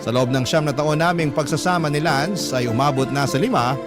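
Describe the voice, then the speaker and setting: A male narrator reads a first-person confession for a radio drama. His voice sits a little high for a man, and music plays clearly beneath the scripted telling. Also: brisk. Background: clearly audible background music.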